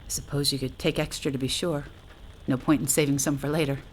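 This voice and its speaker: An actress playing a wry, talkative astronaut facing doom in an audio drama, sardonic and conversational in manner. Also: female voice, rumbling low, fairly even intonation, a little fast, a little mellow, a little hiss or hum. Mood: grim, sardonic. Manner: sardonic, conversational, hesitant asides. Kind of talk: naturalistic audio drama acting.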